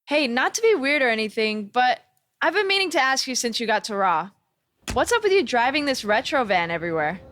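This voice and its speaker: A woman's voice: a little high, big pitch swings, brisk, fairly bright tone, a little hiss or hum. An actress playing a friendly, curious young female wrestler in casual video game cutscene dialogue. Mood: curious, warm.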